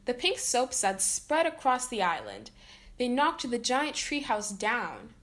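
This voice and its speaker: A woman reading a children's story aloud in an expressive way, acting out the dialogue. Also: on the high side, a little slow. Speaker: storybook reader.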